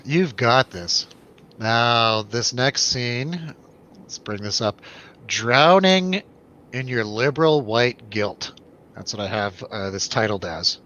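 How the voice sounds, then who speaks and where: A man's voice: medium pitch, expressive, slow pace, sharp tone. A man hosting a casual film review show in a jokey, irreverent way. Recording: dull lo-fi, faint background noise.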